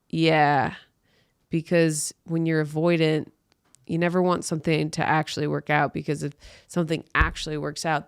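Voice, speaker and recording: A woman comedian with a smooth, clear voice delivers irreverent commentary as a podcast host. She is blunt and exaggerated, takes her time over each line, and sounds close and dry. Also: on the low side, no room sound. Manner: blunt, sweary, exaggerated, breaking into mock voices.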